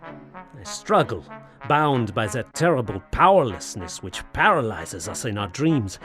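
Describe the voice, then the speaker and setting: An actor playing a tormented, anxious French gentleman in an audio horror drama, speaking formally with hesitant, brooding, theatrical delivery. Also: medium pitch, big pitch swings, unhurried, soft background music. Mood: dread. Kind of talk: audio horror drama acting, period literary diction.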